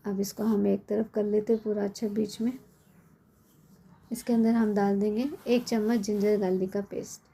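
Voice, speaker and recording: A middle-aged woman with a hoarse, cold-worn voice, chatting casually as she explains a home recipe step by step in her kitchen. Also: medium pitch, level tone, moderate pace, mellow, a little hiss or hum. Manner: chatty, explains step by step with frequent tag questions. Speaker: cooking channel host. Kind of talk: casual cooking tutorial.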